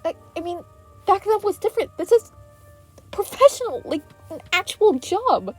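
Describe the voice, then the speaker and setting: Woman voicing an earnest, bright-eyed teenage boy hero. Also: very high-pitched, big pitch swings, normal speed, faint music behind, pitched above the performer's own voice. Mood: excited, flustered. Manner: stammering when flustered.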